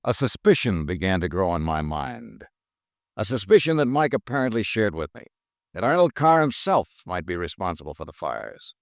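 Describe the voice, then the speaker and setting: An actor playing a sharp male insurance investigator in a 1950s radio drama, narrating in a wry, level manner. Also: fairly low pitch, very animated, moderate pace, gravelly, no room sound, studio quality. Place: studio.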